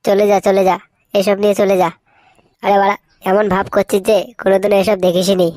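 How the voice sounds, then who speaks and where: A man voicing a brash, foul-mouthed young cartoon layabout, swaggering and slangy, with a pitch-altered voice. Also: flat delivery, fairly quick, darkish tone, no room sound, clean studio sound. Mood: impatient, bickering. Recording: faintly noisy cartoon dub, birdsong behind.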